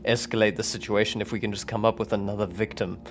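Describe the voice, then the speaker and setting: A man's voice: fairly low pitch, steady tone, fast. A man talking casually as a player in a tabletop game.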